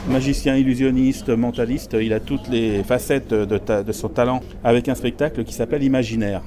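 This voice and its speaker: A man's voice: mid-range voice, steady tone, fairly quick, music in the background. A man hosting a radio interview, asking brief, friendly questions. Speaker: radio host. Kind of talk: casual interview.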